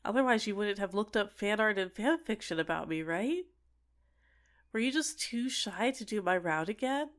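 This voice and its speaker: A woman's voice: medium pitch, animated, moderate pace, natural voice. A woman playing an obsessive, sentient dating-sim character in an ASMR roleplay, clingy and coaxing with menacing sweetness. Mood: teasing, coaxing. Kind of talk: scripted ASMR roleplay monologue.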